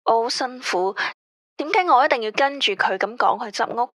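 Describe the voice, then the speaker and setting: An actress playing an anxious radio caller who speaks Cantonese with a Hong Kong accent in a tense, weary, complaining way. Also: female voice, a little high, normal speed, a bit bright, no room sound, clean studio sound. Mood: uneasy. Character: female caller, anxious about a controlling ex-husband. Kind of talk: radio drama acting.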